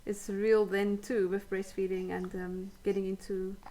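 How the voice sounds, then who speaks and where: A woman's voice: medium pitch, little pitch movement, measured pace, mellow. A woman hosting a casual interview in an encouraging, affirming way. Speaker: interview host.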